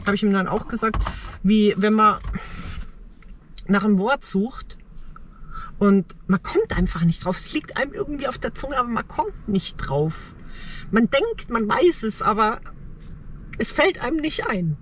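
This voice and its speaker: A middle-aged woman shares personal reflections for a vlog from inside a car. Her medium-pitched voice moves in short, halting, thoughtful phrases. There is some faint noise behind her. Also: lively intonation. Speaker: travel vlogger.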